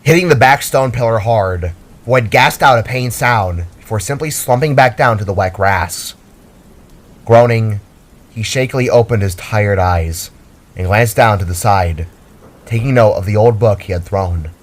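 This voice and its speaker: A man's voice: fairly low pitch, a little slow. A man reading a scripted fantasy story aloud as a dramatic narrator.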